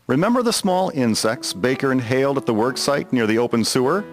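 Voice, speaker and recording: A man's voice: mid-range voice, expressive, a little fast. A man narrating a scripted historical story as its storyteller. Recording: music behind the voice. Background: guitar music.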